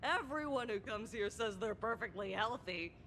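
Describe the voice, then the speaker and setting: Playful, lively lady doctor. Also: on the high side, normal speed, a little hiss or hum. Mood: knowing, probing. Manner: playful, knowing, theatrical. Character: witty, teasing female doctor who tends bar. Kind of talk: video game voice acting.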